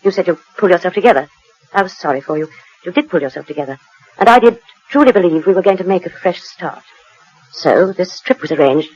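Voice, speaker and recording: An actress with a British accent playing a proud, bitter wronged wife in a 1940s radio drama, crisp, controlled and cutting. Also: female voice, on the low side, lively intonation, brisk, darkish tone, muffled recording. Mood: bitter contempt. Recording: noisy 1940s radio recording.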